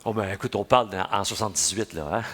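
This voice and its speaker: A man's voice: fairly low pitch, fast, fairly bright tone, husky. Older quebec priest telling stories in his homily, chatty and folksy, lots of restarts, church echo all around. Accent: Quebec French. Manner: folksy storytelling, many restarts and "hein?". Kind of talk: homily at mass.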